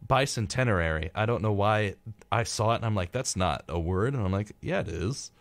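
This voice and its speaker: A man's voice: lowish voice, expressive, normal speed, no room sound. A man co-hosting a casual live radio show, reading through a rundown and tossing in flippant, irreverent asides. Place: radio studio.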